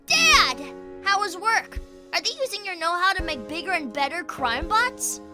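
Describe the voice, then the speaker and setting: Excitable, high-pitched little girl. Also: normal speed. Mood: excited. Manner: bubbly, enthusiastic. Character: eager, excitable young daughter of the hero. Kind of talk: cartoon comic dub acting. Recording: music behind the voice.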